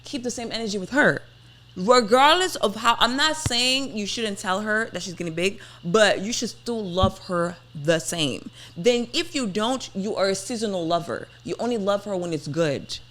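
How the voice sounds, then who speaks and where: A woman's voice: medium pitch, moderate pace, fairly bright tone. A woman in her twenties chatting on a podcast, arguing her point in a pointed, insistent way. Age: 20s. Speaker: podcast host. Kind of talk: casual debate chat.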